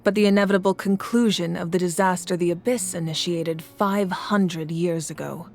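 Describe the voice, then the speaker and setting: A woman playing the calm, grave ruler of a fire nation in a video game, speaking in a measured, formal way. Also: lowish voice, natural voice. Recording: game audio with loud music.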